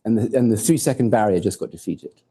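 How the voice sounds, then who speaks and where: A man's voice: lowish voice, fast talker, warm tone, breathy edge. A man from Hawaii, raised in Portugal and England, giving an informal, digressive academic lecture with jokes and the odd swear word. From born in Hawaii, raised in Portugal and England. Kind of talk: academic talk with slides. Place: lecture hall.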